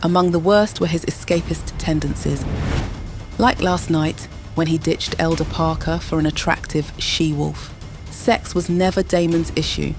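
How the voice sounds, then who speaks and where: Low-voiced woman narrating drama over music. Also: fairly even intonation, a little slow. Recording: music bed behind the voice.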